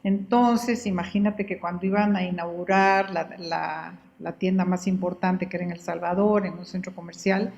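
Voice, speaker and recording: An older woman from Guatemala, a guest in an interview, telling her life story in an unhurried, conversational way and often repeating words as she thinks. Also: on the low side, fairly even intonation, gravelly, a little mellow, dull audio.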